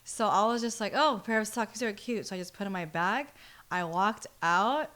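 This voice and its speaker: She is a young woman, speaking at an average female pitch. Her delivery is casual and animated, full of slang and prone to giggles, like someone confiding a story to friends. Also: normal speed. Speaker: podcast guest. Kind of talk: casual chat, personal storytelling.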